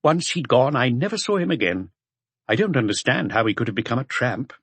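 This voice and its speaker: A man voicing a polite, helpful male witness with a measured, forthcoming manner in an audiobook. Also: mid-range voice, big pitch swings, fairly bright tone, husky, no echo, exaggerated voice. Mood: polite, earnest.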